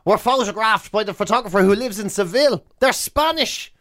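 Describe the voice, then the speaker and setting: An Irish man with a notably high voice for a male, talking as a radio co-host. He banters comically, hammering points by repeating them emphatically. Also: animated, brisk, a bit bright. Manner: mock outrage, emphatic repetition.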